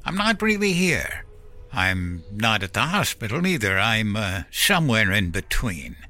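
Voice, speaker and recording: A man voicing a dying old uncle who talks bluntly and gruffly, in an audiobook story. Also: lowish voice, sing-song, unhurried, pushed and bright, exaggerated voice. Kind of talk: audiobook character voice.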